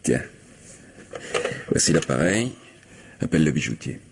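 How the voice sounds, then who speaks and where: An actor in a French radio mystery drama playing a cruel, greedy lover, contemptuous, commanding and impatient. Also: male voice, very deep voice, big pitch swings, measured pace, gravelly, slightly rough, full character voice. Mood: coolly commanding. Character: cruel, domineering, money-grubbing lover bullying a submissive woman.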